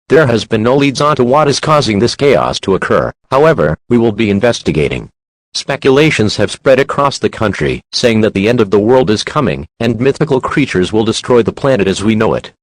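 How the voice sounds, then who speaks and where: A man playing an emergency alert announcer, reading a formal scripted warning in a stern, measured, official manner. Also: medium pitch, no room sound, natural voice, low-quality recording. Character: solemn male emergency alert broadcast announcer.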